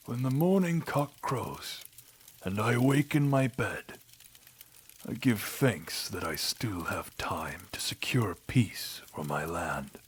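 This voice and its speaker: Man acting a husky, slow-spoken old king. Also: on the low side, expressive, voice pushed up, exaggerated voice. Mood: weary, earnest. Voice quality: husky, breathy, half-whispered at phrase ends. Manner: slow, grave, deliberate. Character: aging, weary, solemn king pleading for peace. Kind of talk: audio drama character acting.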